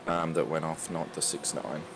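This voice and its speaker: A man with a croaky voice giving colour commentary on a sim race. He is halting, with many ums and restarts. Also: extremely low pitch, fairly even intonation, background noise.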